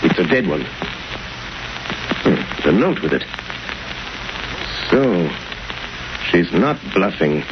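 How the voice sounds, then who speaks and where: An actor playing a grave, confident gentleman crime-fighter in 1930s radio drama, with a deliberate, dramatic delivery. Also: deep voice, sing-song, exaggerated voice. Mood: calm, assured. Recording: muffled, hissy 1930s radio recording.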